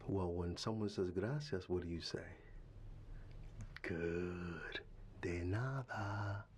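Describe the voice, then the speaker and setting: A man doing an ASMR fatherly roleplay, talking softly and half-whispering into a close microphone, tender, with halting restarts. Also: sits low. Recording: steady ambient background noise.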